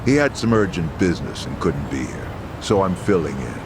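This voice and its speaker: A man's voice: low-pitched, sing-song, moderate pace, noisy background. A man playing a businesslike general manager in a video game, speaking formally, with polite firmness. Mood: formal, apologetic. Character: businesslike male care home manager, formally apologetic.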